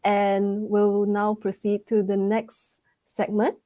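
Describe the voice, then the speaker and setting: A woman moderates an online event in a warm, mellow voice. She is polite and gracious, thanking speakers and moving things along with frequent ums. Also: mid-range voice, monotone, takes it slowly.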